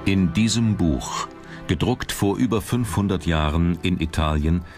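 A man with a very deep voice narrates a documentary. His delivery is scripted, measured and built for dramatic storytelling. Music plays clearly behind him. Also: a little mellow.

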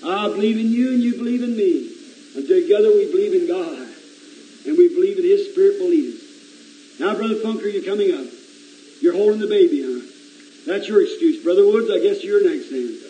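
A hoarse man with a cold, preaching earnestly to his congregation and calling on people by name. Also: high-pitched, expressive, fairly quick, dull audio. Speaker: pastor. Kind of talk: informal sermon talk to a congregation. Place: church sanctuary. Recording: echoey church with loud congregation singing.

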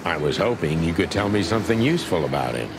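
An actor playing a world-weary older rooster detective in a film noir game, with a dry, terse, deadpan delivery. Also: male voice, low voice, fast, creaky, noisy background, exaggerated voice. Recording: music behind the voice.

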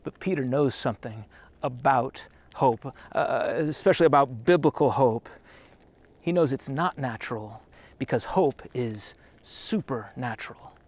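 A man's voice: medium pitch, unhurried, darkish tone. A man in his thirties preaching a sermon outdoors on a trail, earnest and conversational, with frequent restarts. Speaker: pastor.

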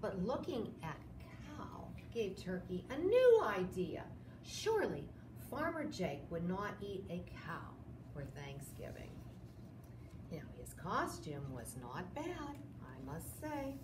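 A woman in her sixties hosting a children's storytime, reading a picture book expressively with drawn-out dramatic phrases. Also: on the low side, very animated. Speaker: library storytime host. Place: small indoor room, seated. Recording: roomy echo, clear background noise.

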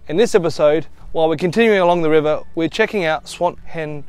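Upbeat middle-aged Australian camping vlogger outdoors. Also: male voice, on the high side, fast, darkish tone. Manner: chatty, upbeat, laughs at his own slip-ups. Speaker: camping vlog host. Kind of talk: casual travel vlog with bloopers. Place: outdoors by a river in a bush reserve. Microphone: camera from a few metres away.

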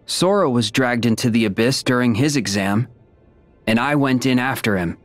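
A man voicing a loyal, steady young warrior in a video game, calm and understated. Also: mid-range voice, moderate pace, no echo, soft background music, close to an everyday voice. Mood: serious. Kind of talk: animated video game acting.

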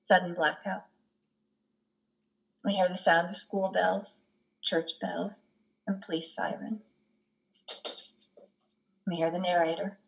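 A woman's voice: lowish voice, little pitch movement, slow, creaky, pauses often. A middle-aged woman narrating a play, reading stage directions over a video call. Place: lit room at home. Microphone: video call, computer microphone. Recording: dull video call audio.

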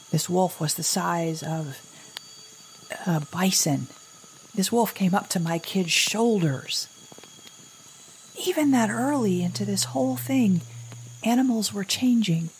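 A woman playing an anxious, wry middle-aged mother surviving after an apocalypse, in a halting, rambling audio drama monologue. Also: lowish voice, darkish tone, slight background noise, low-quality recording. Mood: awed, fearful.

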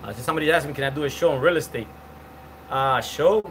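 A man in his forties talks loosely into a phone camera on an outdoor patio, reading out viewer comments. He rambles with fillers and restarts, and his voice sits a bit high. A faint hiss runs under it. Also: fast talker, a bit bright. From Cuban. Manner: chatty, rambling, many fillers and restarts, greets viewers by name. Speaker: live stream host. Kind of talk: casual live-stream chat reading comments. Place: outdoor patio with palm trees.

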